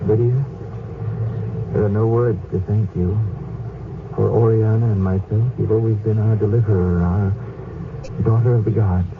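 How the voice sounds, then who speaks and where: An actor playing Marcus, a gentle and wry Athenian nobleman who narrates, with a calm, measured delivery. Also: fairly low pitch, far from the mic, lightly acted. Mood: grateful. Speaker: narrator and lead character. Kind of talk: 1970s radio drama acting. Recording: tinny, hissy old radio broadcast.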